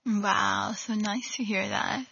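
A woman's voice: mid-range voice, fairly even intonation, takes it slowly, vocal fry, bright, forceful tone, husky, no room sound, dull audio. A woman hosting a radio interview show, delivering prepared introductions and questions to her guest.

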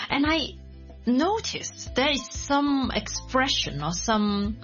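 A woman from Hong Kong hosting a casual interview, lively and quick to laugh. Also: medium pitch, highs cut off. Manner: lively, laughs often, many backchannels. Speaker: host.

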